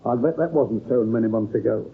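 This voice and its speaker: A man's voice: mid-range voice, a little fast, slightly rough. An actor playing an observant, plain-spoken male investigator in an old-time radio crime drama, with a conversational manner. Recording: tinny old radio recording.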